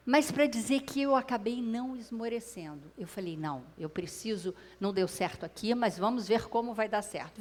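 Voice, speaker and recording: Brazilian woman telling her story to an audience. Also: fairly high pitch. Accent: Brazilian Portuguese. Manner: lively storyteller who addresses the audience directly. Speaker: speaker at a public talk. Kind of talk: personal storytelling to a live audience. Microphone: clip-on microphone.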